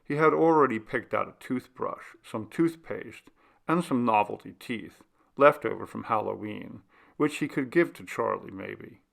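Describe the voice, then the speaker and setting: A man reading a literary short story aloud as narration, with a gravelly voice. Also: fairly low pitch, measured pace, a bit bright. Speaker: audiobook reader.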